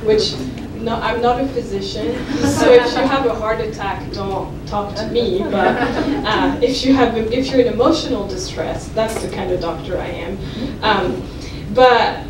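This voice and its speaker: A woman's voice: medium pitch, unhurried, hardly any pauses, a crowd in the background. A young woman from France, a native French speaker, giving a chatty, self-deprecating lecture at a podium, with many fillers and restarts. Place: lecture hall with audience. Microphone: podium microphone heard from a distance. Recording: echoey room from far off, noisy.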